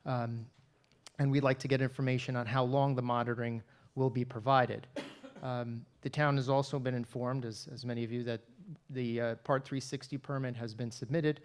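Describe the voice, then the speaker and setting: A man speaking as a town planner gives formal, measured remarks at a planning board meeting in a town hall. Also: medium pitch, level tone.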